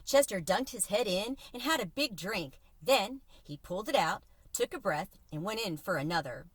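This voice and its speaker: A woman storyteller reading a children's novel aloud in an expressive, animated way. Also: mid-range voice, moderate pace, slightly rough, no echo.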